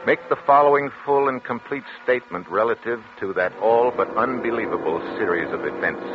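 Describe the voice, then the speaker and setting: An actor playing a troubled, solemn doctor in a formal, deliberate 1940s radio drama style. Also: male voice, lowish voice, lightly acted. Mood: grave, haunted. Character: troubled, solemn male doctor and psychiatrist narrating his confession. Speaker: actor, narrator and lead. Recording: dull, noisy 1940s radio recording.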